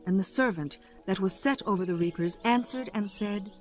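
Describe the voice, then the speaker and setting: A woman's voice: medium pitch, moderate pace, a little mellow, soft background music. A woman narrator reading a scripted scripture audiobook.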